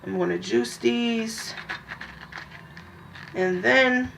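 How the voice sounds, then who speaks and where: A woman's voice: on the high side, extremely slow, vocal fry, a bit bright, a little hiss or hum, low-quality recording. A woman, chatting casually as she demonstrates juicing in her home kitchen, rambling with many ums and restarts. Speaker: vlogger.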